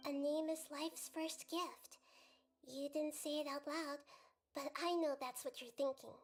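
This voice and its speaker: A woman playing a gentle, wise young goddess who speaks softly and reassuringly. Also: high voice, steady tone. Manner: soft, measured, reassuring. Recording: game audio with faint music.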